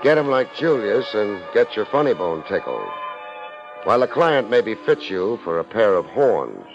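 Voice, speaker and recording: A man's voice: lowish voice, sing-song, moderate pace. An American man playing a hard-boiled, wisecracking private investigator in a 1950s radio drama, sardonic and unruffled. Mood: wry narrating. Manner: sardonic, unruffled, wry first-person narration and blunt questioning. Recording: muffled 1950s radio recording with music.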